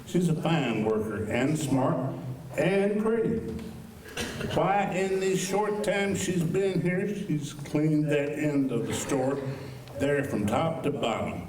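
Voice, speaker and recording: A man acts out a fretful Old West shopkeeper for a radio play. He rambles and grumbles in a folksy way at a very slow pace. The sound is echoey, as from a stage. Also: a little high, lively intonation, a crowd in the background. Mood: fond, admiring. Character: fretful, talkative old-west general store keeper, man. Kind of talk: live old-time radio western acting. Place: high school auditorium stage. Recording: echoey stage, distant mic, faint noise.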